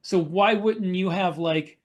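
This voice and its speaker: A man talking casually as a podcast panelist, with a halting, rambling delivery. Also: sits high.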